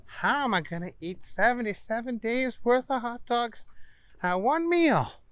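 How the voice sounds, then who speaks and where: A reader voicing a greedy, boastful man obsessed with hot dogs, who talks loud, high and drawn-out. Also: animated, clear, resonant tone, no room sound. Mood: incredulous.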